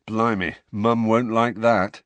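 A man's voice: on the low side, fairly even intonation, takes it slowly, fairly bright tone, no room sound. A man voicing a cheeky, mischievous teenage boy with a wry tone, in an audiobook.

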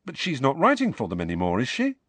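A man's voice: mid-range voice, huge pitch swings, fast, slightly rough, no echo, pitched above the performer's own voice. A man reading an audiobook, voicing a teenage boy who sounds insistent, defensive and heated. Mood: indignant. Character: indignant teenage wizard boy. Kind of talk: audiobook character voice.

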